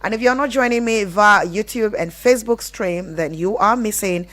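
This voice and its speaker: A woman's voice: mid-range voice, normal speed, fairly bright tone. A woman with a Nigerian accent hosting a live relationship call-in show on radio.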